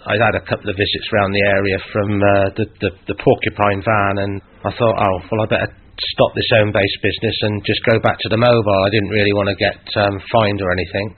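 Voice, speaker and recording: A man's voice: lowish voice, little pitch movement, quick pace, hardly any pauses, breathy edge. A middle-aged man telling casual anecdotes as an interviewee. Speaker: interviewee, former CB user. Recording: band-limited phone-like sound.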